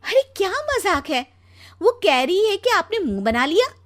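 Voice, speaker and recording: A nagging young Indian wife from a cartoon, acted by a woman. Her voice is very high and swings up and down as she whines, pleads and scolds. She always sounds like she wants something more. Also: sing-song, moderate pace, a bit bright, heavily acted. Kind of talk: Hindi cartoon story dialogue.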